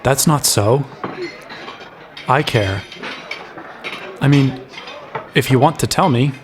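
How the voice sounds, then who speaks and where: An actor playing a wry, kindly young man in a fantasy audio drama, narrating and speaking with gentle, self-deprecating earnestness. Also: medium pitch, slow pace, background noise, natural voice. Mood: gentle, earnest. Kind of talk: acted first-person narration and dialogue.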